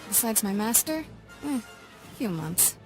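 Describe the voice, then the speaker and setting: A woman's voice: medium pitch, sing-song, a little slow, music in the background. An actress playing a cocky, teasing young woman Padawan in a game cutscene. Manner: wry, teasing.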